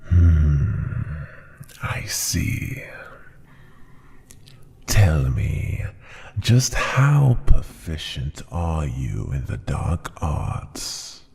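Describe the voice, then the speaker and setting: A man voicing a proud, cruel dragon ruler in a fantasy ASMR roleplay, with a deep growl that often sinks to a breathy whisper, speaking in a deliberate, haughty, menacing way. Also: very animated, darkish tone, exaggerated voice. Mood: haughty, probing.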